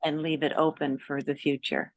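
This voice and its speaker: A woman participant in a casual conversation, asking questions gently and thoughtfully. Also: deep voice, level tone, moderate pace, dry recording. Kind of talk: casual chat.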